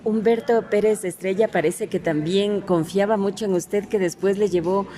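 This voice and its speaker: A woman's voice: mid-range voice, fairly even intonation, moderate pace, mellow. A woman interviewing a guest in a conversational style.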